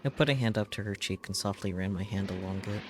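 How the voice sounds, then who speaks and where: A man's voice: fairly low pitch, fast, noisy background. A man reading a visual novel's script aloud as a streamer, in a dramatic storytelling style. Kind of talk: dramatic read-aloud narration of a game script.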